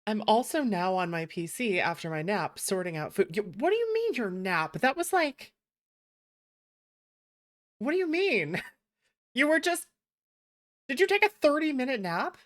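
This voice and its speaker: A woman hosting a livestream, chatting casually in an animated, teasing way with some swearing. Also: on the high side, measured pace, long pauses. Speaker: livestreamer, VTuber host.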